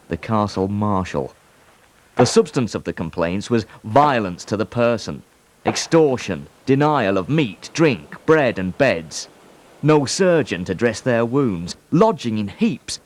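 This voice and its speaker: A man giving a solemn, measured dramatic reading of historical letters and accounts. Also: lowish voice, a little mellow. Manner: slow, solemn, measured delivery.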